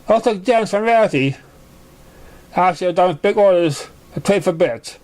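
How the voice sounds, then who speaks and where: A man's voice: sits high, expressive, moderate pace, a little mellow, voice pushed up. A man voicing an anxious, apologetic young girl pony in a story reading. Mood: apologetic, pleading.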